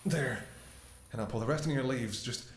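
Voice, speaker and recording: A man performs a devoted, worried doctor speaking intimately to his ailing lover. His delivery is emotional and halting, with tender sighs, at a middling male pitch. The audio roleplay has a clearly echoey, roomy sound. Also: lightly acted. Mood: pleading. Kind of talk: intimate scripted ASMR roleplay acting. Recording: echoey room.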